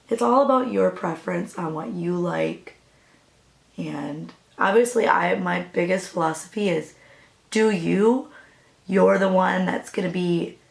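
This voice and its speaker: A young woman with a raspy voice, chatting casually through a makeup tutorial as a new beauty vlogger. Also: lowish voice, echoey room. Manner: chatty, hesitant, with fillers and apologies.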